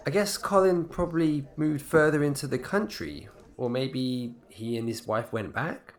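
A man's voice: medium pitch, animated, a little slow. An actor playing a polite, curious semi-retired man new to a village, hesitant and inquisitive, in audio drama dialogue.

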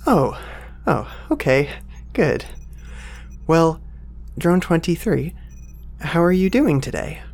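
A man's voice: fairly high pitch, very animated, takes it slowly, creaky, long pauses, darkish tone, heavily acted. A man playing a nervous, apologetic young assistant in an audio drama, hesitant, polite and easily flustered. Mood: amazed, hesitant.

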